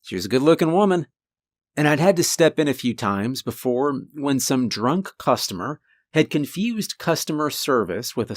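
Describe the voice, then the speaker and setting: A man with a deep voice narrating a first-person horror story in a calm, conversational way. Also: no echo.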